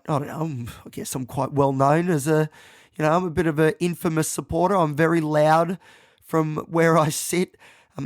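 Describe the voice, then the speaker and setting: An Australian man hosting his own podcast, talking casually and rambling with lots of ums, croaky and nasal from a head cold, self-deprecating about his voice. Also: a little high, dry recording, clean studio sound. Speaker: podcast host. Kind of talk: casual solo podcast monologue.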